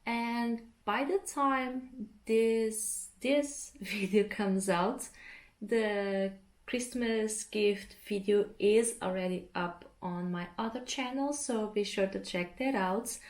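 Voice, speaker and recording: A relaxed, chatty woman whose first language is Portuguese talks to her viewers in an easy vlog style, with a mid-range voice. She speaks from home, and the room adds a noticeable echo. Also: mid-range voice, slow pace. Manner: chatty, relaxed, often laughs mid-sentence. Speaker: art vlogger. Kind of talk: casual chat to camera. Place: home interior. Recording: roomy echo.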